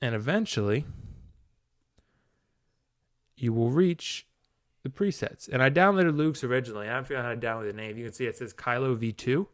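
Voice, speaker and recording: Male tutorial presenter, informal and conversational, crisp tone, dry recording. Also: mid-range voice, fast talker, no room sound. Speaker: tutorial video maker. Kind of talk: casual hands-on app tutorial.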